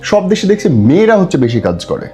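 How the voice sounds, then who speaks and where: A woman's voice: lowish voice, very animated, a little fast, mellow. A woman with a Bangladeshi accent interviews in a conversational, agreeable way, dropping English words into her speech. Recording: music behind the voice.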